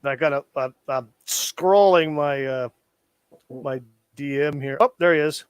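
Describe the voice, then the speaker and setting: Slow-talking high-voiced laid-back man. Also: smooth, no room sound. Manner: laid-back, joking, laughs often. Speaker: stream co-host. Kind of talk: casual live-stream chat.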